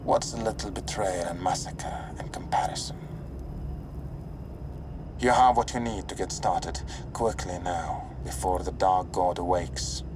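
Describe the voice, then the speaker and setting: An actor playing a dry, sardonic veteran operative giving a mission briefing in a video game, wry and understated. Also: male voice, deep voice, steady tone, measured pace, background noise. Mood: dry, foreboding. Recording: music playing behind the voice.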